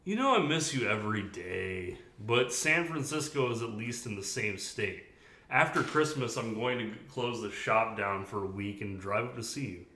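A man's voice: on the low side, expressive, moderate pace, pushed and bright, a little hiss or hum. A man voicing an affectionate mother of a grown daughter in audiobook dialogue. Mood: reassuring. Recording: roomy echo.

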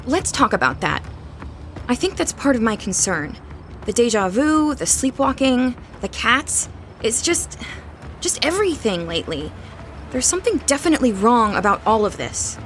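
A woman's voice: fairly high pitch, very animated, normal speed, a bit bright, slight background noise. An actress playing a worried, serious young woman in a horror audio drama, earnest and anxious. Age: young adult.

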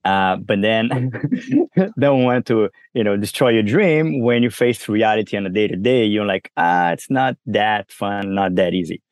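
A man with a Brazilian accent carrying a trace of French speaks in a very low voice. He talks casually and loosely, with plenty of fillers and restarts. The sound is dry and close. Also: measured pace, no echo. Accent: Brazilian with some French. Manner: relaxed, many fillers and restarts. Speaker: guest entrepreneur. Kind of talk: casual interview conversation.

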